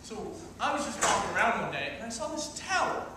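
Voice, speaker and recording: A scheming magical imp played by a man in an improv comedy show, very high and lively in pitch. He tells his side of the tale chattily, like an everyday guy with a sly plan, recorded far off in a very echoey room. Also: fairly quick. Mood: casual, chatty. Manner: chatty, casual improvised storytelling. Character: casual, scheming magical Rumpelstiltskin, droning on about economics. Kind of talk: improv comedy storytelling on stage. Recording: distant mic, echoey noisy room.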